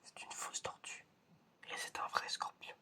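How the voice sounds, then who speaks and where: An ASMR performer playing an eccentric examiner in a whispered roleplay, speaking slowly and hesitantly and repeating phrases. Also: sharp tone. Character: eccentric examiner testing whether the listener is human.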